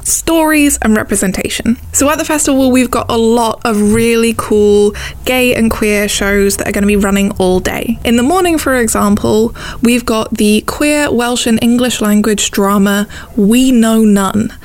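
A woman's voice: on the high side, normal speed, a little mellow. A woman presenting an upbeat, enthusiastic radio promo, stressing and repeating words for emphasis. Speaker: festival curator and presenter.

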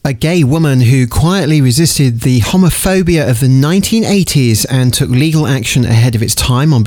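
A man's voice: medium pitch, a little mellow, no echo. Male radio presenter with a dry studio sound. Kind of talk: scripted news reading and studio chat.

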